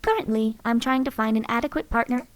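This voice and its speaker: A woman voicing a composed female synth companion who speaks in a calm, formal, clinical way. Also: fairly high pitch, quick pace, natural voice. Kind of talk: recorded companion voice lines.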